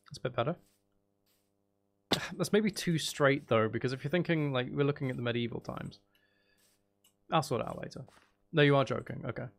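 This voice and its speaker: A man with a British accent chatting casually and informally as he thinks aloud during a gaming livestream. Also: on the high side. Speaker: streamer. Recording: clear background noise with clicking.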